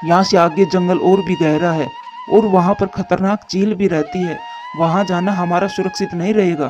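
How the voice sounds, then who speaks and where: A man's voice: high voice, steady tone, moderate pace, darkish tone, lightly acted. A man voicing a caring, anxious father pigeon devoted to his child in a moral-story cartoon, on a muffled track. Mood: concerned. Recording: dull muffled studio track, music behind.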